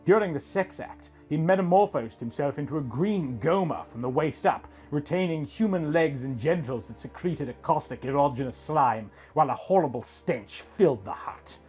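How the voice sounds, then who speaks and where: A man reading a scripted first-person story as a dramatic narrator, in a theatrical, deliberate manner. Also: on the high side, animated, darkish tone, soft background music.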